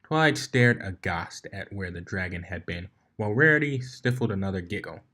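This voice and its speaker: A man's voice: deep voice, animated, normal speed, sharp tone. A man reading fan fiction aloud as a scripted story narration.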